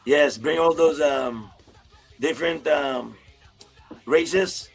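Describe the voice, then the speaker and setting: A man's voice: on the high side, slow pace, breathy edge, faint music behind. A man hosting a casual interview over a video call, friendly and rambling, laughing often. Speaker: YouTube host, interviewer.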